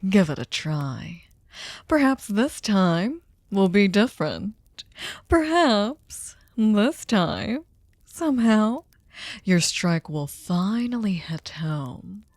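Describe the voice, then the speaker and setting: A woman playing a sadistic, possessive, centuries-old vampire lord in an intimate ASMR roleplay, speaking theatrically and tauntingly, often dropping into breathy whispers. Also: mid-range voice, sing-song, slow pace, a little mellow, no room sound, clean studio sound. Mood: mocking, threatening.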